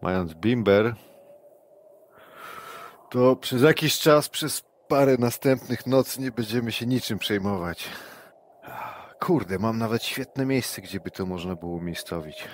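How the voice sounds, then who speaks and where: A man's voice: mid-range voice, unhurried. A man playing a tabletop game online, speaking in character in a rambling, scheming way and checking in with his listener often. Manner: rambling, conspiratorial, peppered with "wiesz" and "nie?". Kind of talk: in-character roleplay, casual and scheming. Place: home, online session. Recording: online session audio with faint noise.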